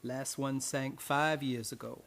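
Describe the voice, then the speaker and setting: A man plays a laconic boatman from an old-time radio drama. He is cryptic and evasive, giving terse, matter-of-fact answers at a middling pitch. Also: steady tone, unhurried, vocal fry, a bit bright. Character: cryptic, laconic male boatman rowing a young heiress.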